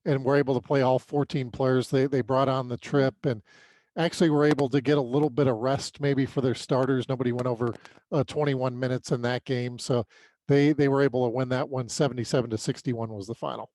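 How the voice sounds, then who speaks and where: A man with a mid-pitched voice talks through sports results as a podcast co-host. His delivery is conversational and a little halting, with repeated words as he lays out the analysis. The sound is dry, with very little room. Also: level tone, a little mellow, no echo, rough recording. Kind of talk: unscripted sports recap commentary.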